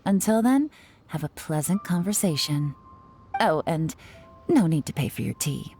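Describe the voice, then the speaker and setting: Slick confident agent, lowish mellow voice, composed and sly, game acting. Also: female voice, big pitch swings, measured pace, faint music behind, exaggerated voice. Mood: breezy, assured. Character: cool, confident, shrewd woman investigator.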